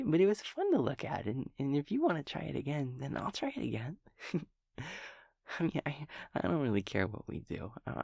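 A man voicing a sweet, naive, cheerful young daemon friend in an intimate audio roleplay, with soft, breathy speech and gentle, halting rambling. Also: medium pitch, dry recording, clean studio sound. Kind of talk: intimate audio roleplay acting, one-sided conversation.

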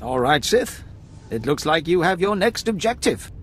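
A man's voice: fairly high pitch, very animated, moderate pace, fairly bright tone, slight background noise. An actor playing a wry, blustering Imperial officer and anxious father in a video game, speaking in a dramatic, emphatic manner. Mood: wry, approving. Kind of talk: video game character dialogue.

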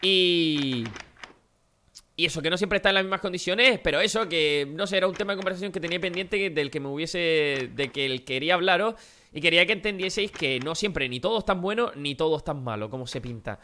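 A Spanish man from Spain talks quickly and brightly over his gameplay. His voice is nasal and a bit wrecked, and he chats straight at his viewers with plenty of energy. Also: a little high, animated, slight background noise. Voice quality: nasal, worn-out voice. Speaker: gaming youtuber. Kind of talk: casual gameplay commentary.

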